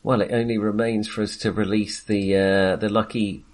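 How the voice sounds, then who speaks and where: A man's voice: fairly low pitch, little pitch movement, moderate pace. A man co-hosting a film podcast, chatting casually in a hesitant, wandering way with self-amused asides.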